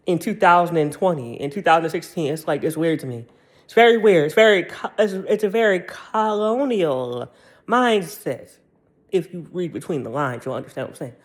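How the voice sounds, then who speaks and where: An American man in his thirties giving a casual, opinionated monologue in a sassy, slangy and emphatic way, often repeating his phrases. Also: on the high side. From United States. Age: 30s. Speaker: commentator.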